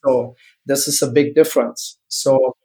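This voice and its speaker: A man in his fifties from East Germany with a German accent, speaking English as a second language and telling his life story as a guest in an interview, halting and searching for words. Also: medium pitch, fairly even intonation, a little mellow, low-quality recording. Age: 50s. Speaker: guest, former gymnast.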